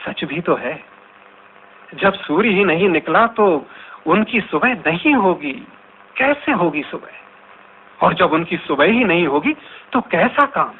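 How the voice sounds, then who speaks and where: A man acting in a radio drama as an anxious lone wanderer, musing aloud in a halting, theatrical way. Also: high-pitched, expressive. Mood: troubled musing. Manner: theatrical, halting, wondering to himself. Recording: tinny noisy narrow-band radio recording.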